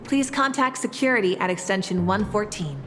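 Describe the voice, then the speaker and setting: A woman voicing a formal, procedural announcer and receptionist in a video game, measured and officious. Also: mid-range voice, fairly bright tone, faint music behind, natural voice. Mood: polite, procedural.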